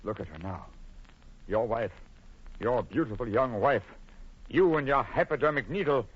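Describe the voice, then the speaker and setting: Bitter theatrical accuser, old radio horror, husky, dull sounding recording. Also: male voice, medium pitch, lively intonation, moderate pace. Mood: bitter, accusing. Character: bitter accusing man, driven to murder, theatrical. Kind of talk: 1940s radio horror acting. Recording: muffled old radio broadcast.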